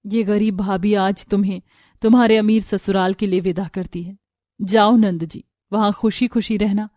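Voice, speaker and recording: A woman's voice: mid-range voice, steady tone, moderate pace, mellow, no room sound, studio quality, lightly acted. A woman voicing a poor, devoted, anxious sister-in-law in an earnest, plaintive, tender way for a story. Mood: tender farewell.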